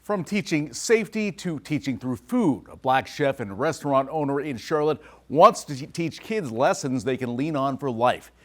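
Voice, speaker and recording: A man's voice: medium pitch, expressive, normal speed, hardly any pauses, no echo. A man reading scripted narration for a television news feature as reporter and anchor.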